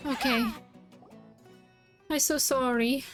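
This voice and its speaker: A woman's voice: high-pitched, fairly even intonation, slow. A woman streaming a game, reacting casually with gushing, emotional asides. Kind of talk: casual live gameplay reaction. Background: game music.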